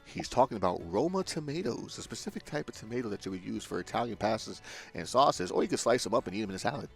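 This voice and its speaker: Chill guy narrating his playthrough, lively pitch, game music soft behind him. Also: quick pace, vocal fry, husky. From Puerto Rico. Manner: laid-back, crude jokes, mumbled asides. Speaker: gaming video host. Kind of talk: casual let's-play commentary.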